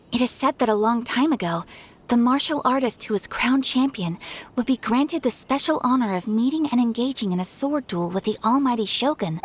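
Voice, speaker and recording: A woman's voice: fairly high pitch, slight background noise, natural voice. An actress playing a refined, courteous young noblewoman with a formal, poised, explanatory manner in a video game.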